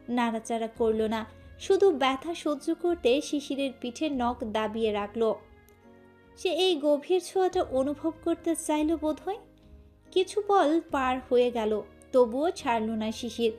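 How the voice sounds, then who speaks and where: A woman narrates a romantic audio story from a script. Her voice sits high in pitch. Faint music plays under the narration. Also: a little mellow.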